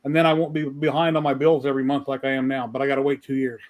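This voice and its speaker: A man's voice: medium pitch, very fast. A retired American man around sixty, chatting in an easygoing storytelling way on a group call. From United States. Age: about 60. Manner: chatty, gives directions in a relaxed storytelling way. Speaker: retired maker, chat regular. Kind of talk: casual group chat on a video call.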